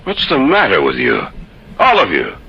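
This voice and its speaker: Gravelly, hot-tempered radio-drama skeptic. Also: male voice, sits low, huge pitch swings, normal speed, full character voice. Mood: scornful. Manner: forceful, theatrical delivery. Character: stubborn, hot-tempered skeptical husband in a horror tale. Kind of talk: old-time radio horror acting. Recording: dull, hissy old radio recording.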